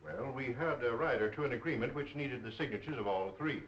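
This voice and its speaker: A man's voice: mid-range voice, a little fast, lightly acted. An actor playing a formal businessman answering police questions in a 1930s film. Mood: formal, guarded. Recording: dull, echoey 1930s film soundtrack.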